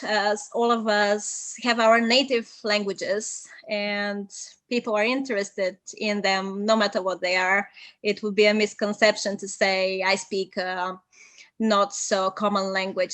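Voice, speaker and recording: A woman's voice: mid-range voice, fairly even intonation, a bit bright, no room sound. Bulgarian-native woman, young translator, methodical interview guest, dry close recording. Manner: measured, methodical, with frequent ums. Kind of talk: interview, giving advice.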